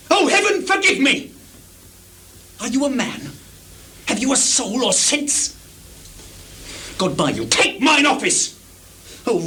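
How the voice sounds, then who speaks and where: A scheming villain, swooping theatrically. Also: male voice, extremely high pitch, heavily acted. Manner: theatrical, insinuating, swinging from hushed asides to loud protest. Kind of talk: live Shakespearean stage tragedy. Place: small theatre stage. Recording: noisy live theatre recording, 1980s.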